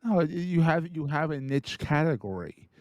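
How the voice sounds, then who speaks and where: A man's voice: a little high, animated, normal speed, darkish tone, no echo. A man hosting a podcast, chatting casually and upbeat with his guest. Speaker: podcast host. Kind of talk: casual interview chat.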